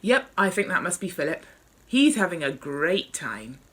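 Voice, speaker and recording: A woman's voice: on the low side, big pitch swings, normal speed, a bit bright, slightly rough, exaggerated voice. A woman reading out the lines of a chatty, gossipy male paramedic in an amused, conversational way from a memoir. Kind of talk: author reading memoir dialogue aloud.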